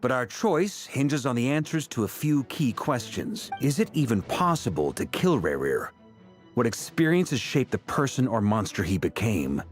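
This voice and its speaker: An actor playing a confident, courteous strategist in a game, articulate and polite. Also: male voice, medium pitch, sing-song, moderate pace, close to an everyday voice. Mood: earnest, courteous. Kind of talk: game voice acting. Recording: game music under the voice.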